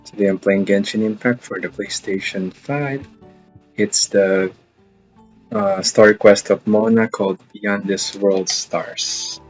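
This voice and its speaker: A man giving casual, halting livestream commentary as he plays a video game. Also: lowish voice, steady tone, muffled recording, soft background music. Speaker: game streamer.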